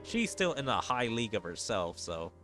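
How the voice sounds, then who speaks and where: A man's voice: lowish voice, big pitch swings, normal speed, bright, forceful tone, soft background music. A man giving casual commentary while he plays a video game. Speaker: streamer playing a mobile game.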